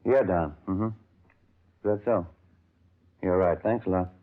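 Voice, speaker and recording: An actor playing an easygoing police sergeant who asks questions plainly, in a 1950s radio drama. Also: male voice, low-pitched, takes it slowly, soft background music. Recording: tinny old radio broadcast.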